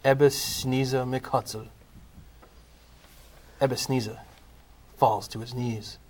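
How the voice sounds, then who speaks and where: A man narrating a scripted comic play as a dramatic storyteller, in measured, deliberate phrases. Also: mid-range voice, fairly even intonation, darkish tone.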